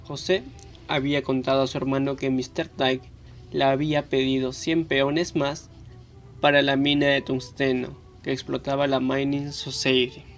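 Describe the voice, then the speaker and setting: A man's voice: medium pitch, little pitch movement, moderate pace, soft background music. A man reading scripted narration for a radio novel. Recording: faint background noise.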